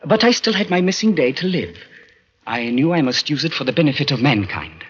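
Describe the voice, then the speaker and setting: An anguished living dead man cursed to see tomorrow, in 1940s radio horror style. His husky voice rises and falls in earnest, pleading swells. The old recording is muffled. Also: a little high, sing-song, a little fast. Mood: urgent, earnest. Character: anguished, earnest undead man who foresees the future.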